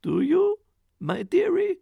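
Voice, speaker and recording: A man voicing the ogre's wife, a scolding woman with a high, shrill voice. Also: huge pitch swings, takes it slowly, gravelly, no echo, narrow, tinny audio, full character voice. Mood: coaxing.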